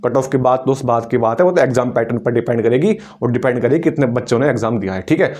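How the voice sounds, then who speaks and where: A man's voice: mid-range voice, quick pace, darkish tone. A man giving informal exam coaching, often checking in with short tag questions and mixing in English terms. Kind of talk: informal instructional talk to exam students.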